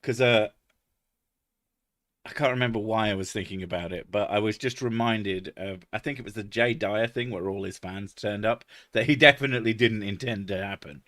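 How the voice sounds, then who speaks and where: A man's voice: normal speed, fairly bright tone, no echo, clean studio sound. A man, chatting casually as a podcast host, swearing freely and laughing at himself. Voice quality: deep natural voice. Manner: swears freely, laughs often, self-mocking. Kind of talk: casual chat.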